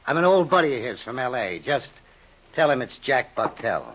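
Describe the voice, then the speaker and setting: An American actor playing a sly small-time schemer in a 1950s radio crime drama, insinuating and full of false friendliness. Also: male voice, mid-range voice, big pitch swings, normal speed, a little hiss or hum, natural voice. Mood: mock-friendly. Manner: smooth-talking, insinuating, mock-friendly. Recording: dull, muffled old radio recording.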